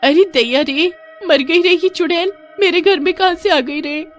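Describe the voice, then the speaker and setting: A woman's voice: very high voice, normal speed, faint music behind, pitched above the performer's own voice. A woman voicing a frightened younger sister who shrieks in panic. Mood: terrified.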